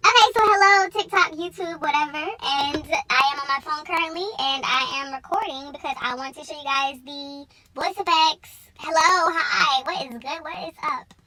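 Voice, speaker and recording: A squeaky chipmunk voice by an app filter. It sounds very high and sharp, with chatty delivery. A faint noise sits behind it. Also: female voice, normal speed, few pauses, low-quality recording. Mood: chirpy. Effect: chipmunk pitch-up voice filter.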